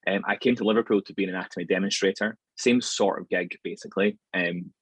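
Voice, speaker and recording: Deep husky Scottish man. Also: fast, no room sound. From Scotland, near Glasgow. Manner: relaxed, rambling, with frequent ums and "sort of". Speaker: anatomy lecturer, podcast guest. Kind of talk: conversational interview answers.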